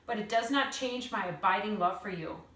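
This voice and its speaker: A woman's voice: medium pitch, normal speed, fairly bright tone, a little hiss or hum, natural voice. A woman voicing a dramatic, ailing grandmother from a children's book, with lofty, theatrical phrasing. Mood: lofty, reproachful. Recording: roomy echo, distant mic.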